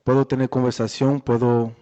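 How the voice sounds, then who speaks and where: A man's voice: medium pitch, level tone, moderate pace, dark tone. A man with a Dominican accent in Spanish, answering interview questions conversationally as a boxer and guest. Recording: echoey, muffled room recording.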